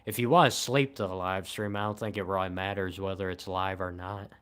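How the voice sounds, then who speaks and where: Casting note: young man in his twenties, livestream host, monotone delivery, lowish smooth voice. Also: a little fast. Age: 20s. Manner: monotone, calm and low-key, says he shows little emotion. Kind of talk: casual late-night livestream chat.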